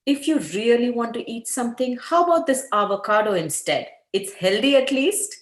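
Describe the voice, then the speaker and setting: A woman's voice: fairly high pitch, expressive, moderate pace, lightly acted. A woman in an amateur table read playing a firm, earnest doctor wife who scolds her husband about his health. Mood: firm, chiding. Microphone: video call. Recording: roomy, echoing video-call audio.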